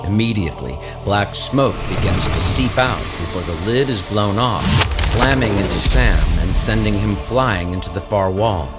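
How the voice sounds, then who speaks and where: A man's voice: fairly low pitch, normal speed, few pauses, lightly acted. A man reading dramatic scripted narration for an audio story, with music behind him.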